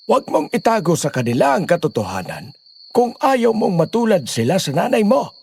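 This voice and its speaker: A man plays a grave, commanding father in an audio horror drama. His voice is creaky and somewhat high, rising and falling dramatically, over faint night noise. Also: wildly swooping pitch, normal speed, a little mellow, exaggerated voice. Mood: stern, warning. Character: stern, grave village father giving orders and warnings.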